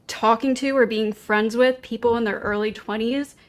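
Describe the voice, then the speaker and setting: A woman's voice: on the high side, steady tone, normal speed. Hesitant woman in her thirties. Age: 30s. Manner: hesitant, filler-heavy, says "like" often. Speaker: panel guest. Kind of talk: casual debate.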